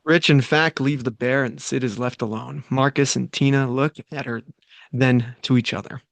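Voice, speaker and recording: Brief: male table-read narrator, gravelly voice, dry close sound, reads directions plainly. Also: mid-range voice, fairly even intonation, no echo. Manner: plain, quick read with slight stumbles. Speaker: stage direction reader. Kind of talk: reading screenplay directions.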